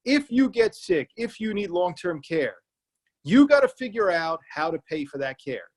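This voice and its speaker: A man's voice: high-pitched, sing-song, moderate pace. A man with an Irish accent, an elder law attorney giving a seminar talk, plain-spoken and repeating key points so listeners remember them. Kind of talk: public library seminar talk. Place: remote online presentation.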